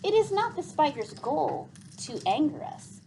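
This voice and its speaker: Woman reading as a formal, high-voiced woman healer. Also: big pitch swings, unhurried, warm tone, slight background noise, full character voice. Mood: assured. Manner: formal, explanatory.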